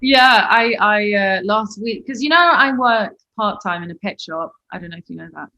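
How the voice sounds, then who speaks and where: Swiss-raised woman singer, bubbly and chatty, bright crisp voice. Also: mid-range voice, normal speed, lo-fi sound. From Switzerland. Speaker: musician guest. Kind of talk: casual interview chat.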